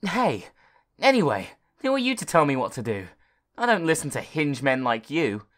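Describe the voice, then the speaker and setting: Man doing a cocky schoolboy, raised pitch, loud, defiant, storybook reading. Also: sing-song, moderate pace. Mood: arrogant. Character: arrogant brash young schoolboy.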